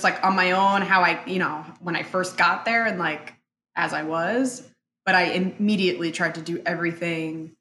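A young adult woman, a native Spanish speaker, reflecting thoughtfully in an interview and talking in halting phrases full of fillers. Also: on the low side, a bit bright. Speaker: interview guest. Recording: echoey room, faint ambience.

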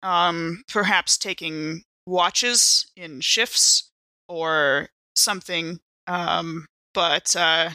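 A woman's voice: fairly low pitch, steady tone, drawn-out words, bright, forceful tone, dry recording. A woman improvising in character as a player in a role-playing game, wry and a little hesitant. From San Francisco Bay Area, said in character.

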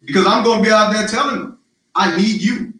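A man running for city council, answering interview questions in a loud, emphatic, rallying way with lots of repetition. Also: sits high, a little fast. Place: live-streamed social media forum. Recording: very echoey, distant mic.

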